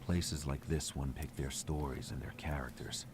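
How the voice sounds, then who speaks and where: Super deep monotone guy narrating a horror game, grave and slow, noisy audio. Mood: somber. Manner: grave, measured, somber. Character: haunted brooding man narrating his dark night.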